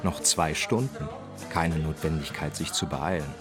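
An actor narrating a radio drama as a detached storyteller, measured and matter-of-fact. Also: male voice, rumbling low, music in the background. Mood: sober, detached. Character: sober, detached low-voiced male storyteller.

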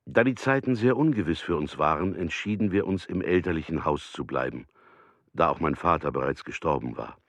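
Very deep-voiced formal merchant. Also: male voice, expressive, fairly bright tone, dry recording. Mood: matter-of-fact. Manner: formal, measured, matter-of-fact. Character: formal exiled German merchant writing a restitution letter. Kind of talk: documentary radio drama, letter reading.

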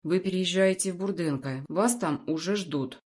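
A woman voicing an imperturbable, commanding man in an audiobook story, speaking in a calm, measured, authoritative way. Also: low voice, breathy edge. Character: imperturbable, commanding wealthy man in a formal suit.

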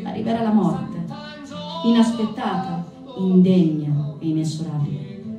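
An actress playing a desperate, defiant young mother who delivers an emphatic theatrical monologue with deliberate phrasing. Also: fairly low pitch, lo-fi sound. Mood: anguished pleading. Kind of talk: theatrical monologue, letter declaimed on stage. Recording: roomy echo, distant mic, music.

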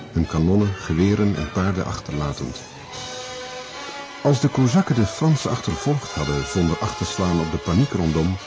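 A man narrating a historical war tale in a radio play, grave and measured in his storytelling. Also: fairly low pitch, lightly acted.

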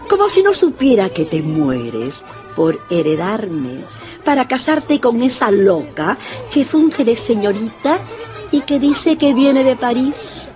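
A woman's voice: a little high, very animated, takes it slowly, dark tone, faint music behind. An imperious old grandmother, swooping delivery. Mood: scornful, probing. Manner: bossy, mocking, theatrical. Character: imperious, scornful old Russian grandmother, rich and sharp-tongued. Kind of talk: radio drama acting.

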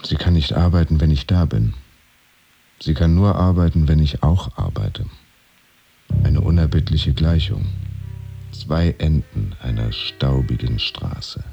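An actor playing a brooding jobless man in an introspective radio drama monologue, measured and dry, often sinking towards a whisper. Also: very deep voice, expressive, gravelly, mellow, muffled recording, soft background music, heavily acted. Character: brooding man who lost his job, pretending to work.

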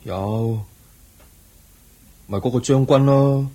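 A man's voice: medium pitch, slow pace, mellow. A man with a Hong Kong Cantonese accent playing a brooding young prince disguised as a cook in a radio drama, earnest and plaintive. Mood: frustrated.

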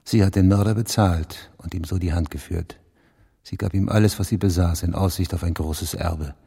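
Casting: condescending official, very low, dark and husky, evasive and measured, radio play in a reverberant hall. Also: male voice, steady tone, heavily acted. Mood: triumphant. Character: smug, guarded male official at the magistrate's office. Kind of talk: German radio drama acting.

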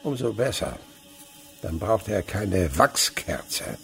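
An actor in a radio drama playing an old, miserly patriarch with a curt, commanding manner. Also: male voice, lowish voice, big pitch swings, slow, gravelly, faint music behind, full character voice. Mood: gruff, offhand.

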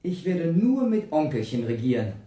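Soft, mellow boyish emperor. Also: male voice, fairly high pitch, big pitch swings, moderate pace, reverberant. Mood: childlike, coy. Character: naive, spoiled boyish young emperor. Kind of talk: German radio drama acting.

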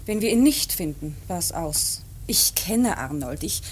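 An actress playing a grieving, earnest grown daughter in a German radio play, with a husky voice and halting, emotional delivery. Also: female voice, lowish voice, lively intonation, a little hiss or hum. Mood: subdued grief. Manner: halting, emotional, repeating words.

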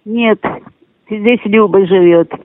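A woman's voice: medium pitch, takes it slowly. A woman in casual phone conversation, insistently repeating herself. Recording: narrowband telephone line.

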